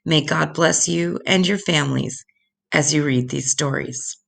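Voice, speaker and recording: An older woman reading Bible stories aloud to children in a deliberate, instructive way, addressing them directly. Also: low voice, gravelly.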